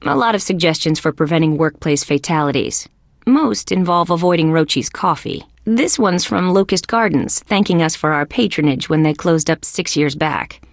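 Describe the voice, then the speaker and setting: Casting: sardonic female bug cop for an adventure game; lowish voice, creaky, dry wisecracks. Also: animated, normal speed, no echo. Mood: dry, wry. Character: wry, sardonic female insect police detective. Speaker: video game character. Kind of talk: scripted adventure game voice acting.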